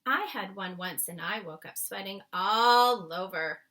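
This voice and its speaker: A woman's voice: mid-range voice, measured pace, pushed and bright. A woman voicing a curious, concerned little girl in a children's storybook, with a simple questioning delivery.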